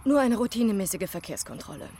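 An actress playing a self-assured, probing police detective who speaks formally and in clipped questions in a radio crime drama. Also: female voice, medium pitch, lively intonation, fast, warm tone, a little hiss or hum, close to an everyday voice. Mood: firm, cool. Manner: formal, clipped, insistent on rank.

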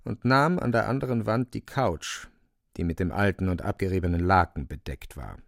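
A man reading scripted literary narration for a radio drama in a measured, matter-of-fact way. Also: deep voice, vocal fry, a little mellow, dry recording, studio quality.

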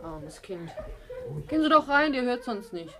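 A woman's voice: fairly high pitch, very animated, normal speed. An actress playing a disapproving, pitying woman at an inn in an old radio play. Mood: indignant, pitying. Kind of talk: 1960 German radio play acting.

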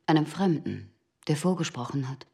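A woman playing a guarded, impassive shopkeeper's wife with a husky voice and terse answers in a radio crime drama. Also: deep voice, moderate pace, full character voice. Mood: guarded, indifferent. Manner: flat, terse, withholding.

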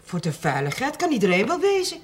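An actress playing a stern, fussy parish housekeeper in a television period drama, scolding in a dry, clipped way. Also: female voice, a little high, wildly swooping pitch, quick pace. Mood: reproachful. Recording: clearly noisy old television soundtrack.

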